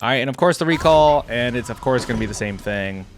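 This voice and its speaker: A man's voice: mid-range voice, expressive, quick pace, a little hiss or hum. A man giving casual, off-the-cuff reaction commentary, thinking aloud in a chatty way with informal slang. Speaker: host of a reaction video.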